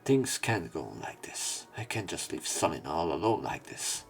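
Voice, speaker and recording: A man reading a visual novel aloud as a let's player, in an expressive, dramatic narration style. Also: sits low, normal speed, creaky, faint music behind. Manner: expressive, with emotional swells.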